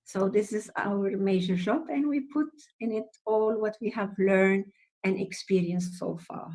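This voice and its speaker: Venezuelan woman, warm lively guest. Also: medium pitch, measured pace, rough recording. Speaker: psychotherapist guest. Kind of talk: interview conversation.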